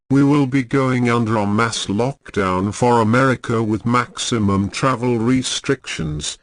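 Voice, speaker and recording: A man's voice: medium pitch, dry recording. A man reading a scripted emergency broadcast announcement in a formal, solemn manner.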